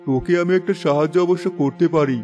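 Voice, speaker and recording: A man's voice: fairly high pitch, fast talker, a little mellow, muffled recording, soft background music, lightly acted. A man voicing a helpful village shopkeeper who gives practical advice in a moral story cartoon. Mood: helpful, matter-of-fact. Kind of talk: scripted children's moral story cartoon dubbing.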